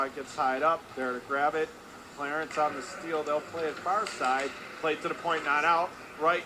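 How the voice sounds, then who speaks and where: A man doing live radio play-by-play of ice hockey in clipped phrases with excitable calls. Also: a little high. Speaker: play-by-play announcer. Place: indoor ice hockey arena. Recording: loud ambient rink noise.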